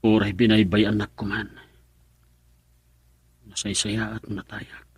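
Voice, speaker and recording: A man's voice: lowish voice, flat delivery, measured pace, slightly rough, dry recording, heavily acted. An actor with an Ilocano accent playing a grown man in a family radio drama. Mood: troubled.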